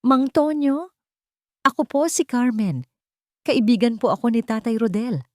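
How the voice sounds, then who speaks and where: A woman's voice: a little high, animated, unhurried, no room sound, studio quality, pitched above the performer's own voice. Woman voicing an earnest, determined young heroine. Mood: pleading.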